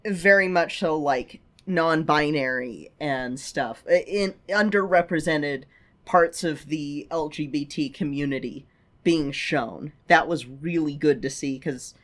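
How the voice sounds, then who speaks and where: A podcast co-host chatting casually about a film, halting and often circling back to rephrase mid-thought. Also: medium pitch. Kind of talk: casual chat, film discussion.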